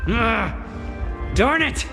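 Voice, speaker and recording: Squeaky, indignant young fool. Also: male voice, lively intonation, very slow, vocal fry, long reverb tail, music in the background, heavily acted. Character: squeaky, irritable young fool, quick to scold. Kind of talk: animated video game acting.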